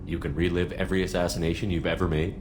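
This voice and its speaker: An actor playing an earnest, principled human military commander in a video game, with a direct, questioning manner. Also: male voice, deep voice, flat delivery, very fast, background noise, natural voice. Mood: curious. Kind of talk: video game dialogue acting.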